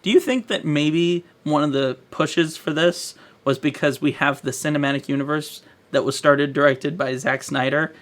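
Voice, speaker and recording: A man's voice: a little high, fairly even intonation, normal speed. A man co-hosting a podcast, talking casually about pop culture in conversation. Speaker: podcast co-host.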